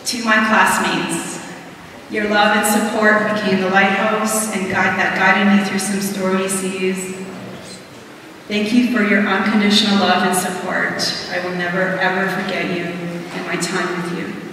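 A young woman reading a prepared graduation speech from a podium in an auditorium, earnest and measured, pausing between words. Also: mid-range voice, little pitch movement, fairly bright tone, slight background noise. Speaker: student graduation speaker. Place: large auditorium stage at a podium. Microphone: podium microphone heard from a distant camera. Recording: echoey hall from far off.

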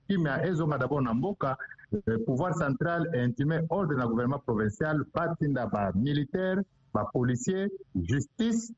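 A man's voice: medium pitch, husky, dry recording. A man with a Congolese accent giving an explanatory news interview as a provincial official, switching between two languages. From Democratic Republic of the Congo. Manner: code-switches between Lingala and French. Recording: muffled, dull lo-fi line.

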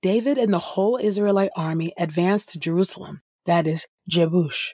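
A woman reading Bible passages aloud from a script in a measured manner. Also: fairly low pitch, dark tone, slightly rough. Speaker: podcast host, scripture reader.

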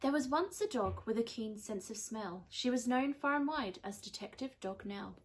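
High-voiced young woman reading a storybook. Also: expressive, normal speed. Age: young adult. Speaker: primary school teacher reading aloud. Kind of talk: rhyming storybook narration for children. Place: small indoor room on a sofa.

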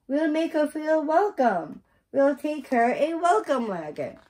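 An eager, friendly little storybook girl, read by a woman. The voice is a bit high and very slow, with earnest, careful delivery. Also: very animated, darkish tone. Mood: excited.

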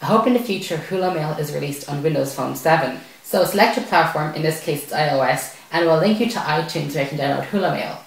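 A man's voice: fairly high pitch, quick pace, vocal fry, few pauses. Young guy doing a tech how-to, really echoey room. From Ireland. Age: young adult. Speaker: tech video host. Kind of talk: scripted tutorial narration to camera. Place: small bare indoor room at a desk.

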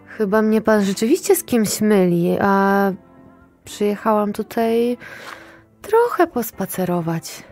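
A woman's voice: mid-range voice, animated, slow pace, a little mellow, soft background music. An actress playing a wary, cynical, sweary woman moonlighting in underground sex work, in a radio play. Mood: evasive. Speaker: actress in an audio drama.

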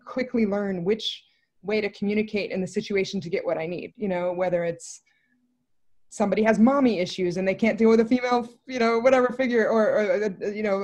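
Rambling woman thinking aloud. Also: medium pitch. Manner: rambling, animated phrasing with many asides. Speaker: film writer and director, interview guest. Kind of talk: casual, thinking-aloud interview answers. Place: indoor room on a video call.

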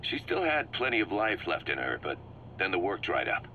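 A man's voice: sits low, big pitch swings, normal speed. A man playing a driven, shrewd mercenary commander in a video game, briefing his boss in an emphatic, assured way. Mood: matter-of-fact. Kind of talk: scripted video game dialogue, explaining plans. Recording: muffled cassette-like sound with background hum.

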